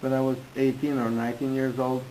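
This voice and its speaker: A man interpreting into English, halting and restarting in phrasing. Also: medium pitch, little pitch movement, warm tone, a little hiss or hum, poor audio. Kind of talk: consecutive interpreting.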